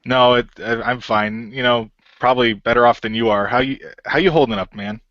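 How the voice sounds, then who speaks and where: A man's voice: mid-range voice, steady tone, a little fast, dry recording, lo-fi sound, lightly acted. A man voicing a goofy, easygoing shapeshifting hero in a hesitant, colloquial way for an amateur radio play. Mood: hesitant, reassuring. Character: David, laid-back goofy shapeshifting male hero, often a genie.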